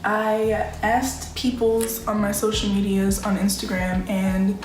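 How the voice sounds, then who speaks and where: A woman's voice: medium pitch, little pitch movement, takes it slowly, a little mellow. American woman, aged twenty, casual vlog chat, slangy, self-interrupting, roomy echo, background music. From Chicago, United States. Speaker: vlogger introducing herself. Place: small plain-walled room indoors. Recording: echoey room with music underneath.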